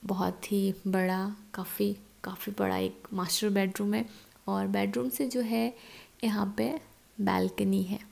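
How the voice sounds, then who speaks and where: A woman's voice: mid-range voice, gravelly, darkish tone. A young woman in her twenties chatting casually as she walks a phone camera through her new, empty house. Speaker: vlogger. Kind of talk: casual home tour vlog. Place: empty house with bare rooms, indoors. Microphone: phone camera, at arm's length then handheld while walking.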